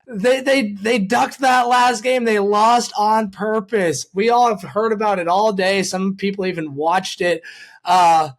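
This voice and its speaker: Guy with a kinda high voice hosting a sports podcast, super informal, rambles and corrects himself. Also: steady tone, unhurried. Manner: rambling, informal, repeats words and self-corrects. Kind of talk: casual sports chat.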